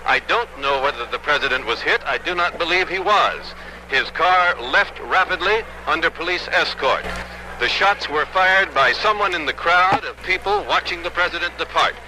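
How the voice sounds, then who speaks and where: Male news reporter, fairly high voice, eyewitness delivery, noisy outdoor archival recording. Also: very animated, normal speed.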